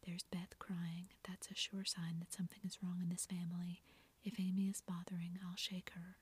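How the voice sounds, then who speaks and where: A woman's voice: lowish voice, level tone, normal speed, husky. A woman whispering the lines of a blunt, headstrong teenage girl in a storybook reading. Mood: huffy.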